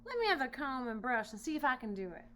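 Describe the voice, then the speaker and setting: A woman voicing a vain, hot-tempered young male lion in a children's storybook, loud, blustering and whiny about his mane. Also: sits high, very animated, quick pace, voice pushed up, exaggerated voice. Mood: delighted. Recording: noisy home recording with hum.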